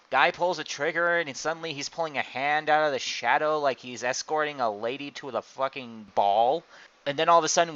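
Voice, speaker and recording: A man's voice: a little high, bright, forceful tone. A man hosting a casual group chat, explaining his opinions in a rambling way. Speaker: podcast host. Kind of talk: casual group chat about anime and card games. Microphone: online group voice call. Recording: dull, lo-fi voice chat.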